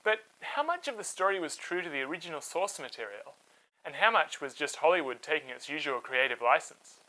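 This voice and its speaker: A man hosting and narrating a scripted story for children, with dramatic, clearly phrased delivery. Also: a little high, big pitch swings, brisk, bright, forceful tone, low-quality recording. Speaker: storyteller, host.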